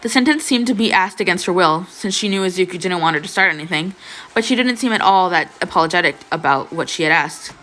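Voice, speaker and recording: Young woman narrating, slightly low voice. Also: expressive, quick pace, a bit bright, rough recording. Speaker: fan fiction narrator. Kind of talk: expressive scripted story narration, casual asides.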